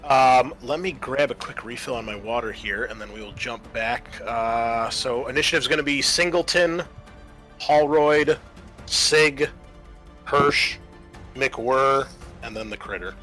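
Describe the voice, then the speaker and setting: A man's voice: mid-range voice, measured pace, bright, forceful tone. A man running a tabletop role-playing game, narrating the action theatrically and casually. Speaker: game master. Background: music.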